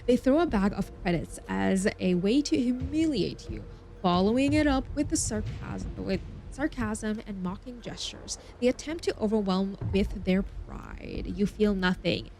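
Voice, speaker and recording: A woman streaming a story game with a medium-pitched voice. She reads the text aloud, sometimes tripping over words, and drops in expressive reactions of her own. Music plays behind her. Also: a little slow, gravelly. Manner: stumbles over words while reading, expressive asides.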